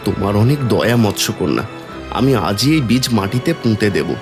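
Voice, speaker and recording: A man's voice: lowish voice, big pitch swings, a little fast, over music. A man voicing a poor, kind-hearted village farmer, plaintive and earnest, in a cartoon folk tale. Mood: earnest, hopeful. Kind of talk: Bengali cartoon fairy tale acting.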